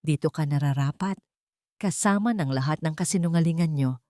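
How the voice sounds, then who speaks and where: A woman's voice: sits low, brisk, darkish tone, no room sound, clean studio sound, natural voice. A woman voicing a wronged young wife in a story drama, bitter, defiant and intense.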